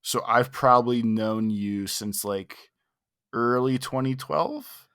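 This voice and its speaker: A man's voice: medium pitch, slow pace, a bit bright, smooth, dry recording, clean studio sound. A young man co-hosting a podcast, chatting casually with wry, offhand remarks. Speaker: podcast co-host. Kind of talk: casual chat.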